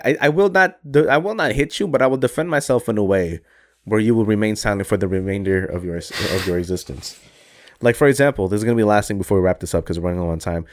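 A young man co-hosting a casual chat, animated and jokey, swearing and laughing often. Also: lowish voice. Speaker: podcast co-host.

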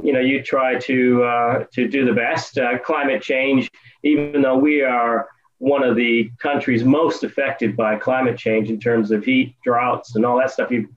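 A man's voice: mid-range voice, muffled recording. A man in his fifties, originally from the United States, answering interview questions in a casual, hesitant way with many ums and restarts. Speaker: guest. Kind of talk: casual interview over a call.

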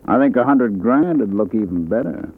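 Smooth-talking man playing a gangster, old radio. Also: on the low side, normal speed, close to an everyday voice. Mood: menacing. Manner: calm, soft-spoken threats. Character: smooth menacing gangster fixing fights. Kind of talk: 1950s radio drama acting. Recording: tinny old radio broadcast with faint hiss.

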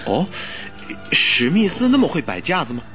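A loyal, worried companion in a radio drama, with a high-pitched voice that swings expressively up and down. He is earnest and fretful, given to exclamations. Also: wildly swooping pitch, normal speed, bright, forceful tone, soft background music, full character voice. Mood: anxious. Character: anxious, loyal male friend of a sick detective.

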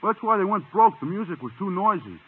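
A man's voice: high voice, sing-song, a little fast, slightly rough, heavily acted. An actor playing a dim, earnest teenage shop boy with a gawky, naive way of talking, in a 1950s radio comedy. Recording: muffled, reverberant old radio recording.

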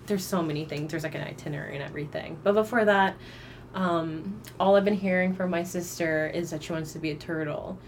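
A woman's voice: low-pitched, steady tone, a little fast, slight background noise. A woman co-hosting a casual travel podcast, rambling through plans with many fillers. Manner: rambling, filler-heavy explaining. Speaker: podcast co-host.

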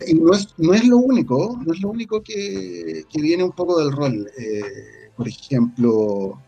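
A Chilean man talking casually as an interview guest, halting and thinking aloud as he goes. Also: on the high side, lively intonation, gravelly, warm tone, slightly rough, muffled recording. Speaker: guest, audio drama creator. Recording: faint music behind. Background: faint music.

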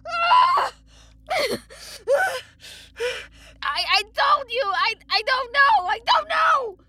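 Woman acting a terrified, stammering male blacksmith, shrill and sobbing. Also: very animated, very slow, bright, forceful tone, no room sound, heavily acted. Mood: screaming agony. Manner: stammering, pleading.

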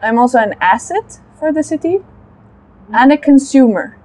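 A woman's voice: sits high, animated, moderate pace, darkish tone, rough recording. A woman who works in urban planning, answering interview questions in an articulate, structured way. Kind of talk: casual street interview. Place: outdoor fair in a park.

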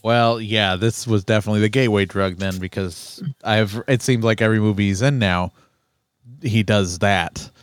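A man's voice: moderate pace, clear, resonant tone, no echo. A man co-hosting a casual movie podcast, often giving short agreeing replies. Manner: short agreeing replies, lively bouncing pitch. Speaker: podcast co-host.

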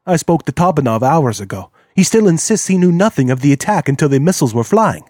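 A man voicing a courteous, formal male diplomat with a higher, measured delivery. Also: sing-song, a little mellow, no echo, clean studio sound. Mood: earnest.

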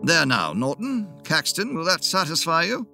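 An earnest, confident Victorian gentleman, as a male storyteller reads him who addresses his club with a raised, declaiming voice. His pitch sits high and swings widely as he makes his case. The tone is bright and forward. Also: sing-song, moderate pace, creaky, soft background music, full character voice. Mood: insistent.

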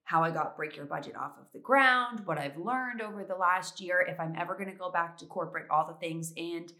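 A woman's voice: on the low side, brisk, sharp tone. Congested young woman hosting a podcast. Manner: chatty, upbeat, many fillers. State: congested from allergies. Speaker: podcast host. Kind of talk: solo podcast chat.

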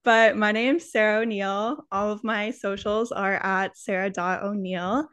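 A woman's voice: mid-range voice, fairly even intonation, measured pace, a bit bright, smooth. A woman in her twenties, a guest on a podcast, talking in a bubbly way that is a little nervous. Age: 20s. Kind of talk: casual interview chat.

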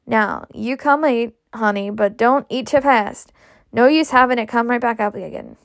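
A woman's voice: on the high side, normal speed, creaky, a bit bright, dry recording, studio quality. A woman putting on a bossy older nursemaid. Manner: scolding, drawling folksy speech.

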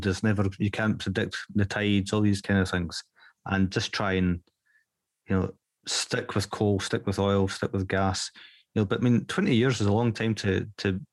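A man's voice: lowish voice, dry recording. A male interviewer asking questions in a chatty, joking way. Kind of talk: conversational interview.

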